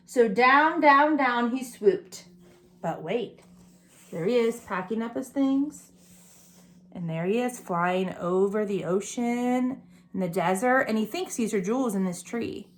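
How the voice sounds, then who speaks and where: Woman, higher-pitched, preschool storybook reader who pauses to explain and question. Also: animated. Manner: explains pictures and asks listeners questions. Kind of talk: read-aloud picture book with chatty asides to young children.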